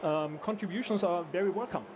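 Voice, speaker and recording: A man's voice: high voice, fairly quick, background noise. A man from Austria, a guest engineer chatting in an interview, restarting and hesitating often. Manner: hesitant, many restarts and fillers. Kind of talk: casual conversational interview. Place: conference event.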